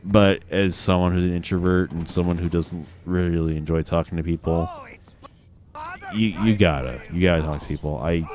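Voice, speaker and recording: A man's voice: low-pitched, steady tone, normal speed, a little mellow, a little hiss or hum. A man with a Midwestern American accent, a bit drunk, rambling and reflective as he hosts a casual gaming chat, swearing casually. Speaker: gaming podcast host.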